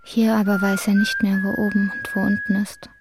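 A woman's voice: medium pitch, flat delivery, brisk, heavy background noise. A woman narrating a literary radio drama from a script.

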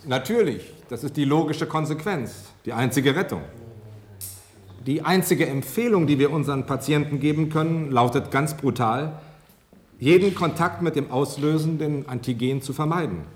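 An actor playing an exacting, methodical medical scientist in a radio drama. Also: male voice, on the high side, moderate pace, close to an everyday voice. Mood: grave, resolute. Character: pedantic, serious male medical scientist. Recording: radio play with faint background noise.

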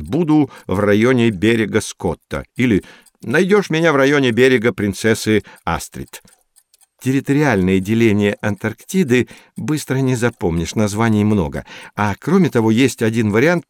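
A man with a medium-pitched voice presents an educational programme for children. He explains clearly and didactically, in a close, dry recording. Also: no echo. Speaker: host of an educational audio programme.

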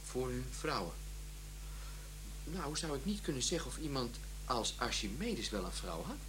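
An actor playing an earnest, hesitant family friend in a comic radio play, stammering and halting as he speaks. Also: mid-range voice, sing-song, noisy background, close to an everyday voice. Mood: awkward. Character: hesitant, earnest male family friend, admiring great geniuses.

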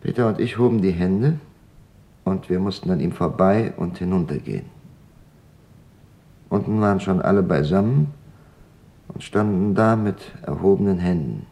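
An actor playing a quiet father who recalls his wartime capture with restrained, factual delivery in a radio drama. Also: low voice, moderate pace, long pauses. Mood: restrained, somber. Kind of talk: German radio drama witness monologue.

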